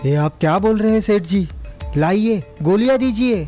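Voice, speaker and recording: A man's voice: high voice, lively intonation, moderate pace, a little mellow, soft background music. A man playing a naive, respectful cart driver in a moral story, speaking earnestly and deferentially. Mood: respectful, puzzled. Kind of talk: acted moral story dialogue.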